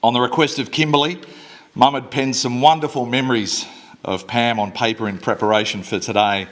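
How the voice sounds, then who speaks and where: A man's voice: mid-range voice, steady tone, fairly quick, a bit bright. Plain-spoken man giving a eulogy. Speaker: eulogy speaker. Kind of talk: funeral speech. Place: church service. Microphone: microphone at a lectern.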